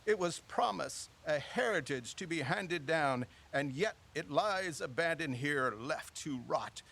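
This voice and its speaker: Grandiloquent older man, gravelly creak. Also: on the high side, animated, measured pace, fairly bright tone, heavily acted. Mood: grand, declaiming. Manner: grandiloquent, old-fashioned phrasing. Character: proud, theatrical older father, owner of a walking-tour company. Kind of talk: audio drama acting.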